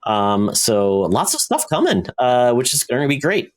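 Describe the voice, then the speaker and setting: A man's voice: mid-range voice, sing-song, normal speed. A man co-hosting a podcast, chatting casually about TV shows in an easygoing, conversational way. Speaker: podcast co-host.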